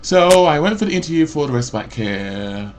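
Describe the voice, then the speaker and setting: A man in his forties, a mental health support worker, ranting about his job in a loud, rambling way full of fillers and restarts. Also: fairly high pitch, big pitch swings, normal speed. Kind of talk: rambling personal rant.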